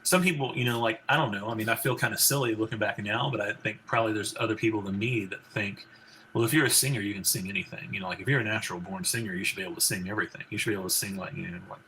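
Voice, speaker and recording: Guest spot: forties man, low gravelly baritone, rambling talk full of fillers, faint background hiss. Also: steady tone, very fast, bright, forceful tone, poor audio. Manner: rambling, many fillers and restarts. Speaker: guest, physician and country singer. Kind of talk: casual interview conversation.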